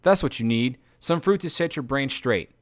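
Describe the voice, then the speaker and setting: A man's voice: animated, normal speed, no room sound, studio quality, close to an everyday voice. A man voicing a dazed, fruit-drunk man who slurs his words and presses food on others. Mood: wheedling. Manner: slurred, pushy, high.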